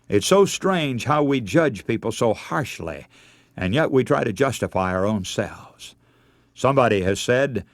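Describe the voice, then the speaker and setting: A man's voice: medium pitch, dry recording. An older man preaching a radio sermon, telling stories in an earnest way and calling his listeners friends.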